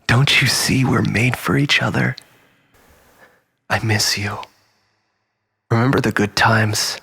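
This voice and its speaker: A man's voice: on the low side, steady tone, takes it slowly, vocal fry, pushed and bright, husky, long reverb tail, heavily acted. A man playing a desperate, manipulative, self-pitying lover in a dramatic monologue, stammering and repeating himself as he swings between pleading and bitterness. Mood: tearful pleading.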